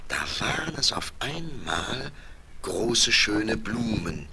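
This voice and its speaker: An actor as an expressive, dramatic narrator of a 1970s German fairy-tale audio drama. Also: male voice, on the low side, takes it slowly, creaky, full character voice. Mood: measured storytelling. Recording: faintly noisy vinyl record.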